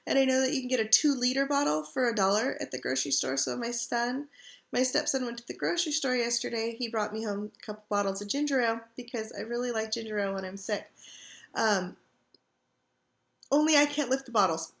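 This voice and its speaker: Middle-aged woman vlogger, raspy sore throat, slightly high pitch, loose rambling delivery, home webcam sound. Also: a little fast, a bit bright. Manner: rambling, chatty, frequent ums and throat clearing. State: scratchy irritated throat. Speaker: craft vlogger. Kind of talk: casual chat to webcam. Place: living room couch at home. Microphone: computer webcam.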